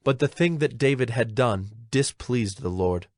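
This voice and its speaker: A man's voice: medium pitch, animated, measured pace, no room sound, studio quality. A man narrating scripture from a script as part of a dramatized audio production.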